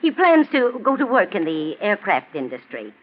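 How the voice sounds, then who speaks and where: A woman's voice: mid-range voice, big pitch swings, moderate pace, close to an everyday voice. Actress as a fluttery, kindly society landlady, swooping intonation. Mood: chatty. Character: wealthy, kindly, genteel landlady, motherly and fussing. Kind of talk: 1940s radio acting. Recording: muffled, noisy 1940s radio recording.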